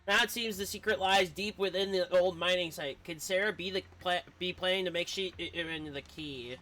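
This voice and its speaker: A woman's voice: on the low side, moderate pace, fairly bright tone, slight background noise. A woman streamer giving casual playthrough commentary and reading game dialogue aloud.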